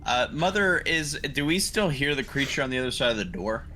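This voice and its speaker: A man playing a tabletop roleplaying game, speaking for his character in halting, careful phrases. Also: mid-range voice, animated, over a noisy crowd. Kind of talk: casual in-character game talk.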